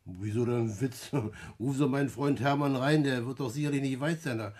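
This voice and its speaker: An actor playing a wily, talkative small-time crook, chummy and evasive, in a 1960s German radio play. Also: male voice, mid-range voice, darkish tone, lightly acted. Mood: cheekily innocent. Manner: chummy, evasive, halting repetitions. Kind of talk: German radio play acting around 1960.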